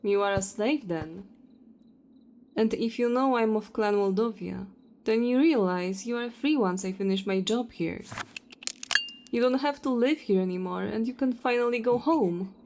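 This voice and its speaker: A woman's voice: fairly high pitch, moderate pace, a little mellow, slight background noise, close to an everyday voice. A woman playing a cold, self-righteous vampire hunter in an audio roleplay, taunting and contemptuous. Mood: scornful, impatient.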